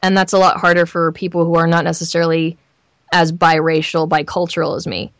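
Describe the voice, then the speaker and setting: A woman with a Southern California accent talking candidly in an interview as a voice actor, emphatic and expressive in how she tells things. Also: on the low side, fairly quick, no room sound. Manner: animated storyteller, emphatic repetition, acts out imagined lines. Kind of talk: candid, chatty interview talk.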